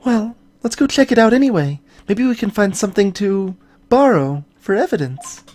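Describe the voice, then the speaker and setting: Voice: medium pitch, sing-song, moderate pace, darkish tone. A let's play host voicing a perky, eager teenage girl from a mystery game.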